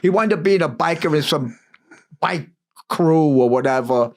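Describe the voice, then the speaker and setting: Gravelly older New man. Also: on the high side, normal speed. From United States. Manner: rambling, animated, acts out dialogue. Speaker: guest musician. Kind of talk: casual interview storytelling.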